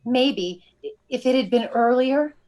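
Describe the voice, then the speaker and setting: A woman's voice: fairly high pitch, unhurried, darkish tone, natural voice. A woman playing a polite, dramatic lady visitor in an old-time radio mystery, with a theatrical manner. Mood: earnest.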